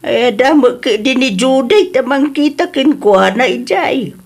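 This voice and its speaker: A woman's voice: high-pitched, animated, unhurried, husky, full character voice. A woman acting a nosy, worried housemaid and mother in an audio drama, exclamatory, with an Ilocano Filipino accent.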